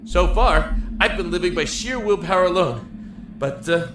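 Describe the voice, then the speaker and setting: An actor playing a lonely, emotional young man in a prison cell in an audio drama, talkative and halting. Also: sits high, animated, noisy background. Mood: rueful, determined. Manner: halting, rambling, effusive. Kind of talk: audio drama acting with first-person narration. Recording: echoey room with music behind.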